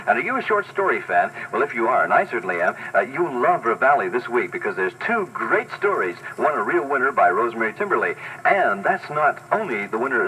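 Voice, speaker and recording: A man's voice: mid-range voice, fairly bright tone, distant mic, poor audio. A man hosting 1960s pop radio with casual, chatty disc jockey patter and breezy asides, heard on an old tape. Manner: breezy, informal, with catchphrase asides. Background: faint tape noise.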